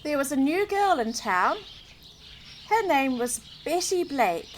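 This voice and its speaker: A woman's voice: high voice, big pitch swings, takes it slowly. A woman narrating a children's story expressively, putting questions to the listener. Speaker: storybook reader. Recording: outdoor background noise with birdsong. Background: birdsong.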